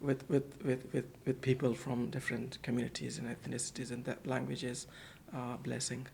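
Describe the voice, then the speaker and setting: A man talks as a podcast guest in an office, at a middle pitch for a man. His voice has a gravelly, creaky edge. He speaks hesitantly and often repeats words and fills gaps while finding his phrasing. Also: level tone, moderate pace, darkish tone, slight background noise. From Pakistan. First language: Urdu. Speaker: guest, center manager. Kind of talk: casual podcast interview.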